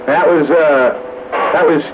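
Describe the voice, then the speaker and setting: A man's voice: on the high side, steady tone, slow pace. Man on noisy, muffled radio. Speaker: amateur radio net regular. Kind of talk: casual radio chat.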